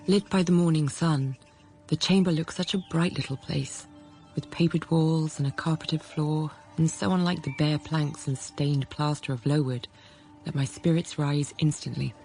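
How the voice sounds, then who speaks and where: An actress playing a restless, self-controlled young governess in a radio drama, speaking in a measured, introspective way. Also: female voice, sits low, steady tone, warm tone. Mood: quietly observant. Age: young adult. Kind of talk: radio drama, first-person literary narration and dialogue. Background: background music.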